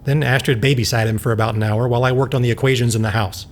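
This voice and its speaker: Man playing a stern, matter-of-fact scientist, mid-pitched. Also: steady tone, rapid-fire, lightly acted. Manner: matter-of-fact, firm, clinical. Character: stern, self-controlled male scientist, blunt and unsympathetic. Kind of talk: audio drama acting with narrated testimony. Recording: faint background noise.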